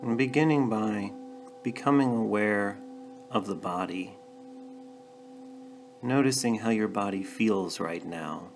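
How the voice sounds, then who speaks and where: A man leading a guided meditation, speaking gently and in a measured way with pauses between short phrases. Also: on the low side, vocal fry, soft background music. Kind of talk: guided meditation, calm instruction.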